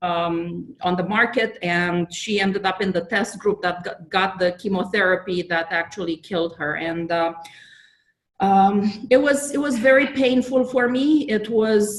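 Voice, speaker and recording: A woman's voice: medium pitch, moderate pace, roomy sound, rough recording. A middle-aged woman from Romania, telling her personal story earnestly as an interview guest. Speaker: guest, biotech founder.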